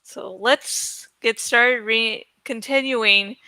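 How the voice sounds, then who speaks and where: A woman with a very high, bright voice hosts a reading of a novel. She takes her time, going between dramatic narration and casual chat, and the recording is dry and close. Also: very slow, clear, resonant tone, dry recording, poor audio. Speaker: host reading a novel aloud. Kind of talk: dramatic audiobook-style reading with casual asides.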